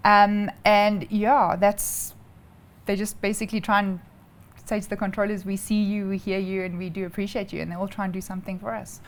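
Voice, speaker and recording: A woman's voice: mid-range voice, little pitch movement, a little fast. A woman with a South African accent answering interview questions informally, explaining things step by step in a chatty way. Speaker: interview guest, air traffic controller.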